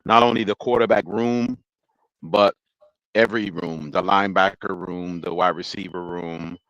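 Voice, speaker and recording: A man talking football as a guest fan, rambling and halting with frequent chuckles. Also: low voice, fairly even intonation, fairly bright tone, dry recording, lo-fi sound. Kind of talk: casual sports chat.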